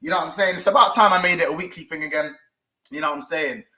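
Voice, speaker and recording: Slangy, animated football show host. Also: male voice, fairly high pitch, fast talker, reverberant. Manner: animated, slangy, repeats phrases. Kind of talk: casual football show chat.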